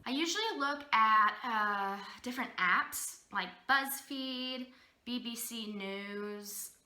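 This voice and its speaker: Slow, bright-voiced young woman in an echoey room. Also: on the high side. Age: 20s. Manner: hesitant, thinking aloud. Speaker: focus group participant. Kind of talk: casual interview answers. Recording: roomy echo.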